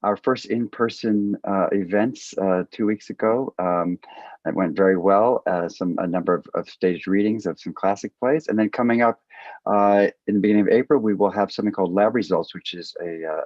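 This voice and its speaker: Guy moderating a panel on a video call, lowish voice, kinda muffled, stops and starts a lot. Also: a little mellow, no room sound. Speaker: event host and moderator.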